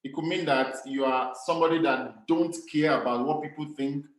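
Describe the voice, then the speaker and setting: A man's voice: a little high, fairly even intonation, moderate pace, reverberant, low-quality recording. A Nigerian man with a Nigerian accent giving an earnest, emphatic motivational talk to young people about communication, repeating his key phrases. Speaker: speaker on communication skills.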